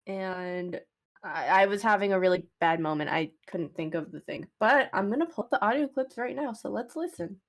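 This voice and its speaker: A woman's voice: mid-range voice, lively intonation, moderate pace. A woman co-hosting a casual podcast, chatty and giggly as she tells little stories. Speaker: podcast co-host. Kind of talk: casual chat.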